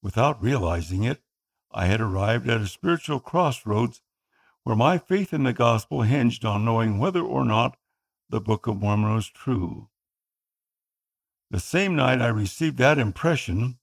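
Man, Tagalog speaker, slightly gravelly, earnest narrator for a scripted personal story. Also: mid-range voice. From Philippines. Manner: earnest, measured reading.

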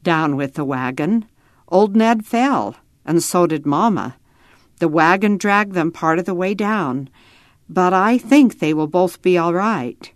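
A woman voicing a steady, reassuring pioneer father in a children's story, calm and plain-spoken. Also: on the low side, measured pace, no echo, studio quality. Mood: matter-of-fact.

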